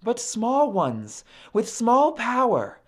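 A goddess mother from a storybook, acted by a woman. Her voice is slow and widely swooping, expressive and cautious as she counsels. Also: fairly high pitch, exaggerated voice. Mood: cautioning. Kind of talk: storybook character acting.

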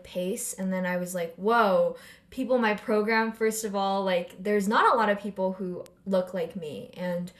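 A woman's voice: medium pitch, a bit bright. A young woman from California hosting a casual interview, sharing her own experiences at length before asking a reflective question. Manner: reflective, asks long personal questions. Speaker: host.

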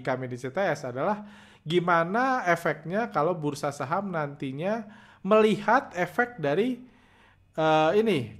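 A man with a hoarse voice from a heavy cold, hosting a stock trading podcast in a halting, casual way with many filler sounds. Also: on the high side. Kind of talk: casual market commentary.

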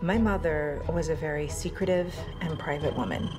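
A middle-aged mother in mourning, guarded and restrained as a woman acts her. Her voice sits low in a naturalistic film performance. Loud trailer music swells under her words. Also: moderate pace, close to an everyday voice. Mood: subdued, grieving.

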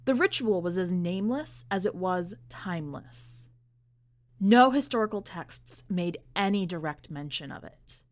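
A woman narrating a scripted literary short story in a measured, deliberate way, with dramatic pauses. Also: on the low side, expressive. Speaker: podcast host and story reader.

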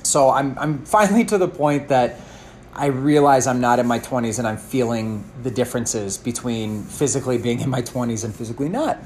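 A man's voice: mid-range voice, darkish tone, a little hiss or hum. A man in his thirties, a fitness coach, answering a question in an earnest, thoughtful way, often restarting his sentences. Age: 30s.

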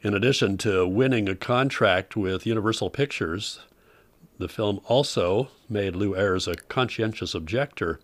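A man's voice: lowish voice, normal speed. A man hosting an old-time radio showcase with scripted, informative narration.

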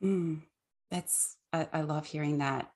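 A woman hosting a podcast interview, asking her guest questions in a warm, measured way. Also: sits low, steady tone. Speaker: podcast host.

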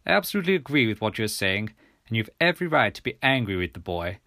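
A man voicing a formal, authoritative English gentleman, an official, in a measured manner for an audiobook story. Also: lowish voice, big pitch swings, dry recording, clean studio sound, heavily acted. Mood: grave, approving.

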